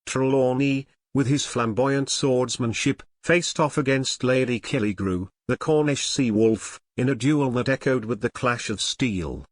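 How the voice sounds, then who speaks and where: A man's voice: medium pitch, a little slow, few pauses, no echo, clean studio sound. A man narrating an audio drama in a scripted, dramatic storytelling style.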